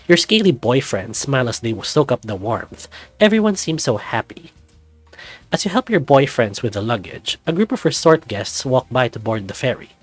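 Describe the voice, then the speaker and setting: A man narrating a visual novel in the second person in a lively storytelling manner. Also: medium pitch, breathy edge.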